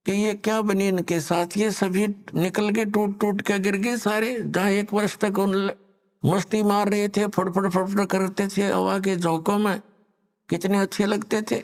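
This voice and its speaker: Male preacher, high gravelly voice, reciting couplets slowly, long hall reverb. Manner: slow, drawn-out recitation. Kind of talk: devotional discourse with recited couplets.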